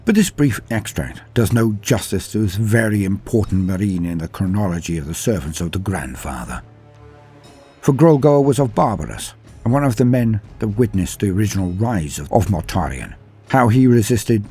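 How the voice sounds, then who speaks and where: A man's voice: on the low side, expressive, moderate pace, dark tone, breathy edge, faint music behind. A man narrating scripted lore in a grandiose, theatrical storytelling manner. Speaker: narrator and presenter of a lore channel.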